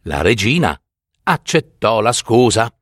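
A male storyteller narrates a fairy tale with theatrical relish. His pitch swings widely as he moves slowly and deliberately through the phrases, lingering on words. The sound is dry, without room echo. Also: sing-song.

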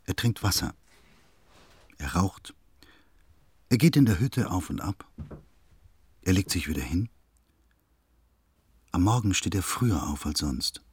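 A man playing a sombre, detached narrator, deliberate and terse, in a literary radio drama. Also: sits low, husky, full character voice. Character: sombre, detached male narrator observing a recluse.

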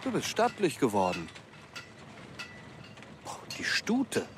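A man's voice: mid-range voice, wildly swooping pitch, takes it slowly, noisy background. A man acting in a German radio drama as a talkative, opinionated village man with a teasing, insistent manner. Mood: chatty, familiar.

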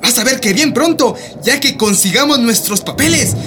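High-voiced, impatient Mexican migrant. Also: male voice, expressive, normal speed, over music. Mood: impatient, hopeful. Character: impatient, hopeful young Mexican migrant man. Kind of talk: radio drama dialogue. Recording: noisy recording with vehicle-like ambience.

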